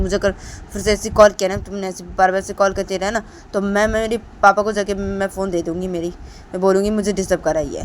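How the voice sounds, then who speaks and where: A woman voicing a furious young woman who angrily scolds a repeated caller in a shrill, shouting voice. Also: slight background noise, close to an everyday voice, poor audio.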